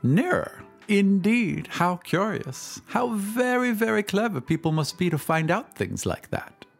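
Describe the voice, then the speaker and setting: A man's voice: sits high, very animated, a little slow, creaky, faint music behind, voice pushed up, full character voice. A man voicing a coquettish society woman who affects polite interest in an audio drama. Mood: feigned interest.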